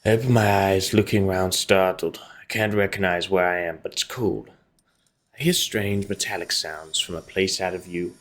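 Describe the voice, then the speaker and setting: A man's voice: low voice, fairly even intonation, normal speed, a bit bright. A man hosting a gaming video, reading visual novel narration aloud and adding casual commentary.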